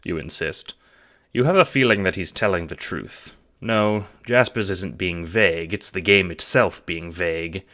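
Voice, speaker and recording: A man's voice: sits low, unhurried, bright, forceful tone. A man narrating a scripted story in the second person, in the style of an audio drama or audiobook. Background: music.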